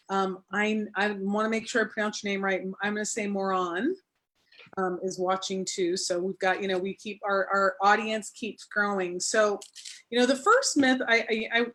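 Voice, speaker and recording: A woman's voice: mid-range voice, fairly bright tone. A woman hosting a live online interview, asking rambling, encouraging questions. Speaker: host of a live stream.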